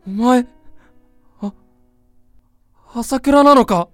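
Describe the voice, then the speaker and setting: An actor playing an insecure, earnest young college student in love, with hesitant, emotional boyish speech in a Japanese voice drama. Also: male voice, very high voice, extremely slow, faint music behind, heavily acted. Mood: stunned. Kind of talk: Japanese audio drama acting.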